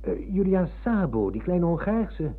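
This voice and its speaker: Middle-aged former pupil, husky, polite, teasing, radio play, lo-fi telephone-like band. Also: male voice, sits high, big pitch swings, unhurried, heavily acted. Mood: teasing, storytelling. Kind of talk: Dutch radio play acting. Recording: muffled lo-fi old radio recording.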